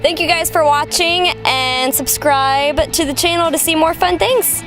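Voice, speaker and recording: A high-voiced woman recapping an outing bluntly, with jokes. Loud music plays behind her. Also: measured pace, rough recording. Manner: blunt, joking. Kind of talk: casual vlog recap.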